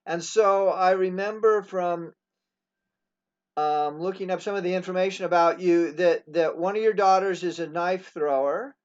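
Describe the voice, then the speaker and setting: A man in his sixties hosting a casual interview, talking in a halting way with many pauses and restarts. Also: high voice, steady tone, smooth. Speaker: host. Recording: dull lo-fi sound.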